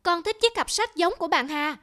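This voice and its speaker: A woman's voice: very high-pitched, expressive, brisk, bright, forceful tone, heavily acted. A woman voicing a boastful, competitive little schoolgirl in a cartoon. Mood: proud. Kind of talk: cartoon dialogue.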